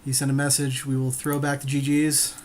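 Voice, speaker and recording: A man's voice: mid-range voice, completely flat delivery, a little fast, a little hiss or hum. A man co-hosting a gaming podcast, chatting casually about a card game in an agreeable, slangy way. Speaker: podcast co-host playing an online card game. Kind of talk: casual gameplay chat.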